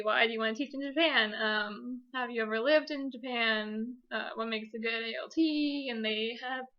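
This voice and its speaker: A woman's voice: fairly high pitch, pushed and bright, lo-fi sound. A young adult woman telling a personal story casually as a vlogger, chatty, giggly and self-deprecating.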